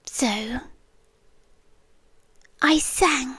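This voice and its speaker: A woman's voice: high-pitched, husky, no room sound, exaggerated voice. A British woman playing a centuries-old possessive siren girlfriend in an ASMR roleplay, tender yet menacing, often sinking into whispers. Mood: eerie tenderness. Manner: slow, hushed, dropping often into whispers. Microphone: close, dry microphone.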